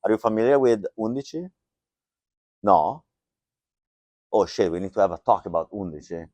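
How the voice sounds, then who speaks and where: A man's voice: on the low side, lively intonation, moderate pace, darkish tone, dry recording. A middle-aged man giving a joking, loose tech talk to a live audience, with lots of fillers. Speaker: conference speaker. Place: lecture hall.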